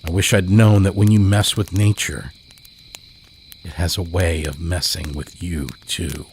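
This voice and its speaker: A very deep male voice tells a first-person horror tale in a measured, deliberate delivery. Faint night ambience hisses softly behind him. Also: steady tone. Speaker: podcast host and story narrator. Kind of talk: scripted horror story narration.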